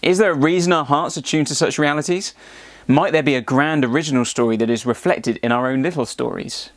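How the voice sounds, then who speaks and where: A man's voice: mid-range voice, brisk, no echo. A man presenting a scripted explanatory narration.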